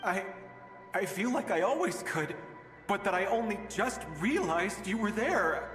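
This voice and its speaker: Tired doomed dad in a game, kinda high voice, reflective and resigned, music behind him. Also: male voice, big pitch swings, a little slow, a bit bright, exaggerated voice. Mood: bewildered. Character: weary doomed father from an ancient age, searching for his daughter. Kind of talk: dramatic game voice acting. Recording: echoey hall reverb, music behind.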